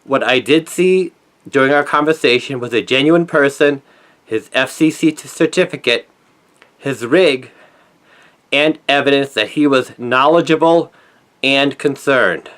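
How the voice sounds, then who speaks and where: A middle-aged man delivering a scripted opinion monologue in an earnest, emphatic manner with deliberate phrasing. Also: mid-range voice, fairly bright tone. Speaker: conspiracy commentator, former newspaper journalist.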